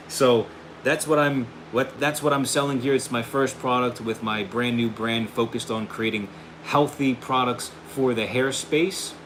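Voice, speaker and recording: A man hosting a casual livestream and pitching his own hair product, upbeat and friendly, saying he is losing his voice. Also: unhurried. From United States. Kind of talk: casual livestream chat, reading viewer questions. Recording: steady air conditioning hum behind.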